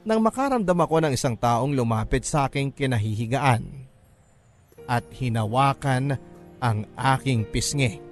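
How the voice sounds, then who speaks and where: A man with a mid-pitched voice narrates a radio-style drama. His telling is measured and sorrowful, with music faintly underneath. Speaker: story narrator.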